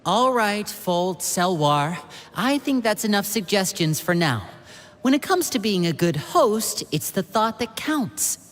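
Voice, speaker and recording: A woman's voice: medium pitch, very animated, unhurried, a little hiss or hum. A woman voicing a composed, playful teenage boy in a video game, polite and protective. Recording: game music behind.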